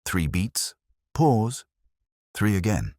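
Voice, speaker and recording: A man reading a scripted first-person detective story in a measured, dramatic storytelling manner. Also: lowish voice, big pitch swings, darkish tone, no room sound, clean studio sound. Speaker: audiobook narrator.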